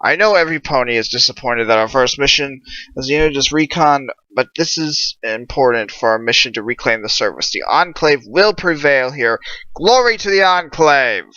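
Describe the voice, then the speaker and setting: A commanding pegasus officer in an audiobook tale, acted out by a man, zealous and urgent. The delivery is forceful and sharp, lifted in pitch, on a dull lo-fi recording. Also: expressive, moderate pace, dry recording. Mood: rousing, zealous. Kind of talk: audiobook character voice.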